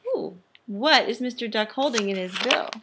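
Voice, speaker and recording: Animated woman reading aloud to children. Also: fairly high pitch, normal speed, background noise. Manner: expressive, instructive, careful phrasing. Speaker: teacher demonstrating a read-aloud. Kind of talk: children's picture-book read-aloud with teaching questions.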